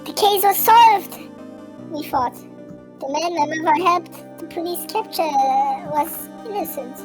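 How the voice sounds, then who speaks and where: Forlorn young female medium amateur performance, very high voice, earnest and plaintive, comedy game dub with clear music bed.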